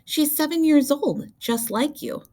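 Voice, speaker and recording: Woman as storybook mom, patient, coaxing, gently insistent, raised pitch. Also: a little slow. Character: patient, coaxing mother of a young girl.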